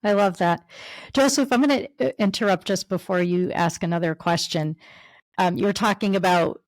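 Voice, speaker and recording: A woman's voice: medium pitch, normal speed, no room sound. A woman co-hosting a podcast, asking questions in a loose conversational way with many fillers. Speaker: podcast co-host. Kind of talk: casual interview chat.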